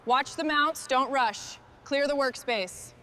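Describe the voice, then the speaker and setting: A woman's voice: high voice, slow, sharp tone, a little hiss or hum, full character voice. A woman playing a focused restoration crew boss, giving short orders in acted workshop dialogue. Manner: short, instructing phrases. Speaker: crew leader in a truck restoration.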